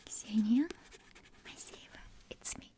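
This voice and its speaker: A woman in her twenties, a native Russian speaker, whispering in a soft, meandering ASMR ramble close to a desktop condenser mic. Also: slow. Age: 20s. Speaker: ASMR creator. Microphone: desktop USB condenser mic.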